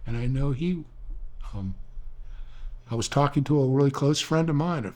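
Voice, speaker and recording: A man's voice: medium pitch, moderate pace, a little mellow. An older man, an author, telling rambling, earnest stories in a reflective talk, often restarting his sentences. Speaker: author and speaker.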